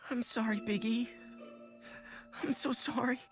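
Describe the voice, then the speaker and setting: Actress as scrappy boy, high voice, hot-headed, contrite, game dub, music clearly behind. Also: steady tone, a little slow, lightly acted. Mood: remorseful. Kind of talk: English video game dub acting.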